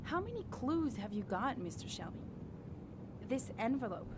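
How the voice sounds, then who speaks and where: A woman's voice: fairly high pitch, big pitch swings, normal speed, noisy background. An actress playing a grieving, determined mother in a video game, earnest and hesitant but insistent. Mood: pleading, insistent. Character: grieving, determined mother seeking her son's killer. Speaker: actor. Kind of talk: cinematic video game dialogue. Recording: music behind the voice.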